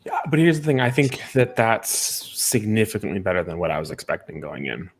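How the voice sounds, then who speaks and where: A man with a deep voice speaks as a podcast co-host. He offers casual movie opinions in a measured, reasoned manner. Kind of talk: casual film review discussion.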